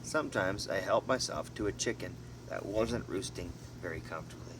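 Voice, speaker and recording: A man narrating a storybook as an amateur reader, expressively, in short halting phrases with casual asides. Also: low-pitched. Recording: noisy, distant mic, vehicle-like background hum.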